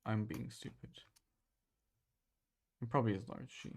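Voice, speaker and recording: A man's voice: mid-range voice, steady tone, normal speed, gravelly. A young man in a casual study chat, asking questions informally as a co-host.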